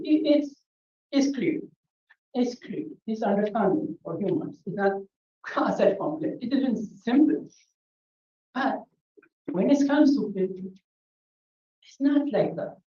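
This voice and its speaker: A woman from Ethiopia with an Ethiopian accent, giving an unscripted conference talk in a halting way, full of restarts and fillers. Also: medium pitch, darkish tone. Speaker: conference speaker. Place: conference hall. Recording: muffled, echoey, distant from the mic.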